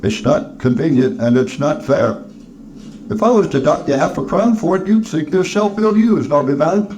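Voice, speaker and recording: A man's voice: medium pitch, moderate pace, mellow, lightly acted. A man voicing a sour, miserly old businessman in a storybook, curt, stern and sneering. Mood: grudging, irritated.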